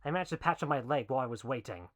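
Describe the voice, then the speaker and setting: Actor as mid-pitched wounded outlaw man. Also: lively intonation, rapid-fire, fairly bright tone, close to an everyday voice. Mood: weary, resolute. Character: injured, resolute gang member left behind. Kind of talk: amateur western film acting.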